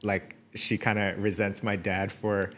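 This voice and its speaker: A man's voice: on the low side, flat delivery, measured pace, a bit bright. Friendly young male podcast host. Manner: friendly, laughs often, goes off on tangents. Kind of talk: casual interview chat.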